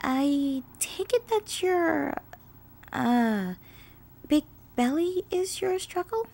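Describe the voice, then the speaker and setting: A woman's voice: high voice, big pitch swings, drawn-out words, a little mellow, exaggerated voice. A woman voicing an awkward, easily embarrassed female pony in a storybook, stammering and hesitant. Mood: nervous.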